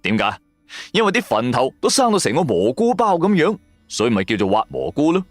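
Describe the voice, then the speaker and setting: A man with a Cantonese accent telling a scripted first-person story in a colloquial, expressive style. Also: fairly high pitch, a little fast, no room sound. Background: music.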